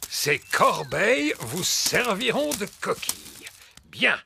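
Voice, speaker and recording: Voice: medium pitch, sing-song, slow, gravelly, bright, forceful tone, a little hiss or hum, full character voice. An actor voicing a jolly, kindly old grandfather pig in a French-dubbed preschool cartoon.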